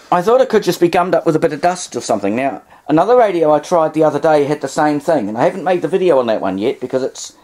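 A man talks through a hobby demonstration indoors. His voice sits a little high for a man. He is casual and unscripted, pausing and wandering into wry asides. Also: darkish tone. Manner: hesitant, rambling, wry asides. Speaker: vintage radio hobbyist demonstrating.

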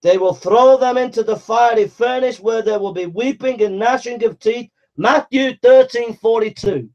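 A man delivering a fiery, exhorting sermon, hammering phrases over and over and mockingly imitating flattering preachers. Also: very high-pitched, lo-fi sound.

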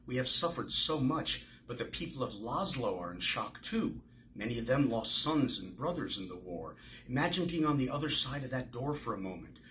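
A man in his sixties reading the part of a hopeful, gentle baker who soothes others, from a novel. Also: mid-range voice, expressive, moderate pace, a little hiss or hum, pitched above the performer's own voice, lightly acted. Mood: earnest, soothing.

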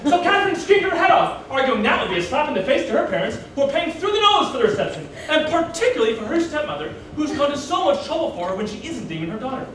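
A man's voice: extremely high pitch, sing-song, fast, hardly any pauses, fairly bright tone, heavily acted. A man playing a frazzled, hard-done-by groom in a theatrical stage monologue, exasperated, melodramatic and emphatic. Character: frazzled, hard-done-by groom ranting about his wedding. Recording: echoey room, distant mic, faint noise.

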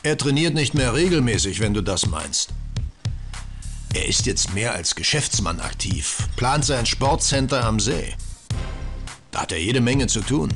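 An actor playing a cocky musclebound bodybuilder in a cartoon adventure game, blunt and slangy. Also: male voice, mid-range voice, big pitch swings, measured pace, over music. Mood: matter-of-fact.

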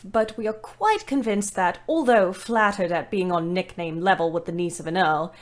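An actress playing a weary young ambulance driver, narrating her diary in a measured, dryly wry way for an audio play. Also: mid-range voice, natural voice.

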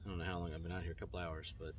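A man's voice: low-pitched, level tone, very fast. A man, chatting casually and rambling a bit in an easygoing, self-deprecating fishing vlog. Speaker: fishing vlogger. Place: inside a parked car; outdoors on a kayak on a lake. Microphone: phone camera at arm's length; camera mounted in front of a kayak. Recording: distant, dull mic with loud wind and water noise.

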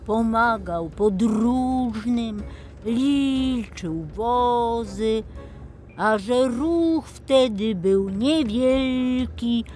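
A woman's voice: a little high, drawn-out words, a little mellow, faint music behind. A woman voicing a dreamy toy digger who tells history like an old fairy tale for children. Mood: dreamy storytelling. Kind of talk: children's audio tale acting.

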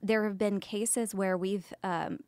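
A woman's voice: medium pitch, fairly even intonation, slow, darkish tone, clean tone, no echo. A woman co-hosting a podcast in a conversational, emphatic and explanatory way, speaking close to a studio microphone. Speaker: podcast co-host. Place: small indoor podcast studio. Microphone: close boom-arm studio microphone.

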